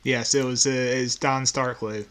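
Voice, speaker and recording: A man's voice: mid-range voice, fairly even intonation, a little slow, a bit bright, a little hiss or hum. A man co-hosting a podcast, chatting casually and informally. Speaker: podcast co-host.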